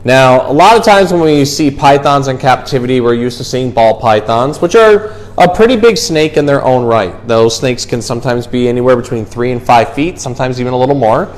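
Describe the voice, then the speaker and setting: Zookeeper guy chatting informally, explaining animal stuff, medium pitched voice. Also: fairly quick, hardly any pauses. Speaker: zookeeper presenter. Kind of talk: casual educational animal talk and storytime.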